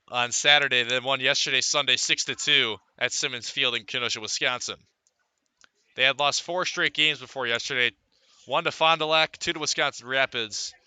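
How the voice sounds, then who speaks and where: A man does live radio baseball play-by-play in a very bright, forceful voice. His pitch sits in the middle, and he delivers each detail as sports commentary, clicking along from stats to action. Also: clean tone, no echo.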